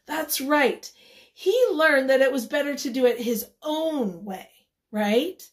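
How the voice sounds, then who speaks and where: A woman teacher leading a read-aloud lesson for young children, encouraging and instructive, pausing after simple questions. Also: high voice. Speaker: elementary school teacher.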